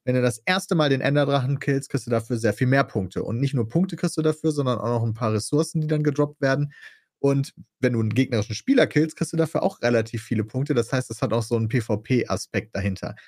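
A young man co-hosting a casual podcast, calmly and methodically explaining a game format. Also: mid-range voice, fast talker, no room sound, studio quality. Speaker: podcast co-host.

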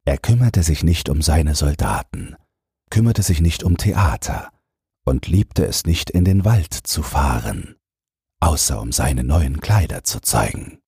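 A man's voice: a bass voice, very animated, unhurried, gravelly, a little mellow, slightly rough, dry recording, studio quality. A man narrating a fairy tale as a bedtime audiobook, reading a scripted text as a storyteller.

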